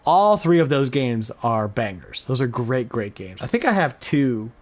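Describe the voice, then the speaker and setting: A man's voice: mid-range voice, big pitch swings, measured pace, slight background noise. A man hosting a podcast in an informal, enthusiastic, slangy way, chatting casually about retro games. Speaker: podcast host.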